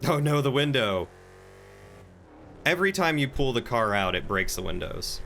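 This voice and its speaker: A male streamer talks casually through gameplay in a medium-pitched, bright voice. He is chatty and rambles as he thinks aloud, with slight noise in the background. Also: big pitch swings, normal speed. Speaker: game streamer. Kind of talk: casual live-stream chat and gameplay commentary.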